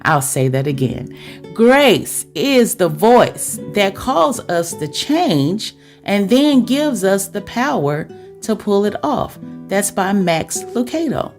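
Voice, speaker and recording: A woman's voice: mid-range voice, big pitch swings, slow pace, darkish tone, soft background music. A woman podcast host talking directly to her listeners in a chatty, confiding girlfriend-to-girlfriend way, with rhetorical questions.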